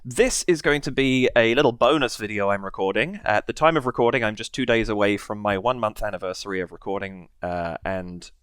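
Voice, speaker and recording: A man's voice: fairly low pitch, a little fast, few pauses, a bit bright, smooth, no room sound. A man hosting his reaction channel from a basement studio, chatting casually with a head cold and rambling through many fillers. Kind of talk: casual to-camera chat.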